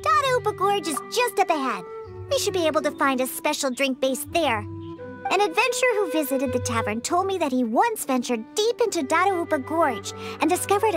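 A woman voicing a sassy, grumpy cat-eared little girl bartender in a video game, huffy and haughty. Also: very high voice. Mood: matter-of-fact, storytelling. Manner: huffy, haughty, emphatic delivery. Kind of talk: scripted video game dialogue. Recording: music behind. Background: background game music.

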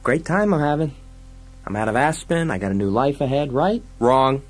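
Man voicing an excitable, whiny crook, pitched high. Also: normal speed, faint music behind, close to an everyday voice. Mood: sarcastic, aggrieved. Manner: motor-mouthed, complaining, stammering. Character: excitable, whiny male wisecracking crook, aggrieved and panicky. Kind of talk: dramatised audiobook science-fiction dialogue.

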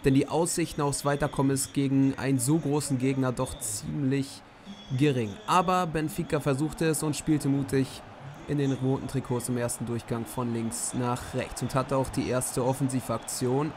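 A hoarse young man giving lively play-by-play summaries of simulated football matches. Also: mid-range voice, normal speed, a little hiss or hum. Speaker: host commentating simulated matches.

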